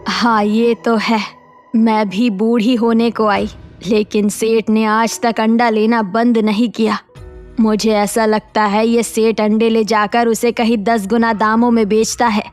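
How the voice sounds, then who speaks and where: A woman playing a shrewd, aging village wife in a cartoon, giving advice in a measured, matter-of-fact way. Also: fairly high pitch, level tone, a little mellow, soft background music, close to an everyday voice. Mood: suspicious, advising.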